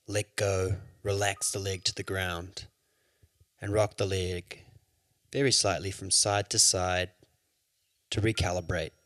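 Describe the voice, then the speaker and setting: A man leading a guided yoga nidra meditation as an instructor, with soothing, measured instructions. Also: deep voice, a bit bright, dry recording.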